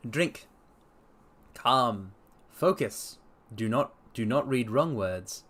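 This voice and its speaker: A man streaming a dramatic story reading, with theatrical, expressive delivery and casual asides. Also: mid-range voice, extremely slow. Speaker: streamer and reader.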